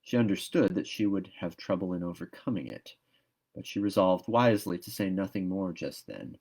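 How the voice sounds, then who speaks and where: Low-voiced man reading a storybook. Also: normal speed, a little mellow, slightly rough. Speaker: storybook reader. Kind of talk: expressive audiobook-style narration.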